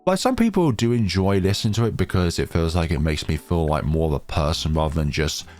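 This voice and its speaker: A young man chatting casually over his gameplay in a rambling, self-deprecating way, his voice still deeper than usual as he recovers from a chest infection, recorded on a home computer microphone. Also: lively intonation, a little fast, darkish tone, soft background music. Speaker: gaming channel host.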